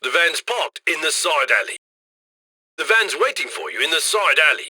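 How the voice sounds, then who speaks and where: A man's voice: fairly high pitch, sing-song, moderate pace, sharp tone, breathy edge, no room sound, full character voice. An actor playing a cocky, jovial heist mastermind in a video game, chummy and theatrical as he guides his crew over radio comms. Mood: briskly instructing. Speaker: mission handler voice in a game. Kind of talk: scripted video game mission voice lines.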